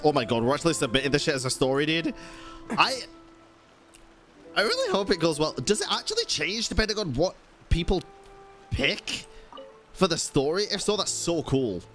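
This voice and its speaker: A man commentates casually on a live game stream, exclaiming and swearing freely. The pitch swings a lot. Faint game music plays behind. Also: fairly bright tone.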